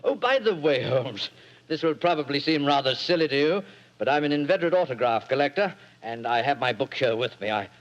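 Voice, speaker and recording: An actor playing a genial, vain British stage actor in an old-time radio drama, effusive and genteel, with a theatrical manner. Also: male voice, medium pitch, lively intonation, a little fast, lightly acted. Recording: dull old radio broadcast recording.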